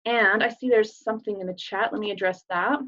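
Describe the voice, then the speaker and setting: A woman talks through a recruiting pitch with earnest, upbeat energy and easy fillers. Her voice sits in an ordinary middle register. The video-call sound is somewhat muffled and dull. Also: steady tone, fairly quick, fairly bright tone. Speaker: business presenter. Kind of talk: recruiting sales presentation.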